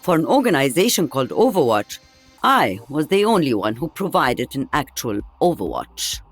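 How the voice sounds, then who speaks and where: A woman's voice: rumbling low, big pitch swings, normal speed, faint music behind, heavily acted. A woman playing an elderly veteran sniper in a video game, with a dry, wry and weary delivery. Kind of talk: video game character voice lines.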